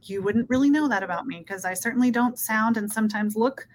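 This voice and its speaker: A woman's voice: on the high side, a little fast, a little hiss or hum. Woman from Peru, 30s, interview guest, earnest, conversational, checks in with "right?". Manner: earnest, often adds "right?". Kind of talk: casual interview talk.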